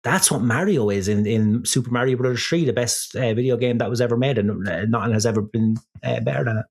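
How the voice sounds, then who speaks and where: A woman's voice: extremely low pitch, fast, a little mellow, breathy edge. A woman co-hosting a podcast, teasing and sardonic, drifting off on tangents. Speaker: podcast co-host. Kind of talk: casual chat.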